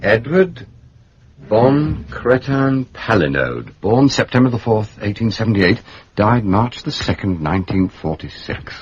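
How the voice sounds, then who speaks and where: An actor playing an urbane, shrewd gentleman detective with an upper-class English accent and a dry, wry wit in a radio drama. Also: lowish voice, big pitch swings, measured pace. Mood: grave, deliberate. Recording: dull, hissy radio recording.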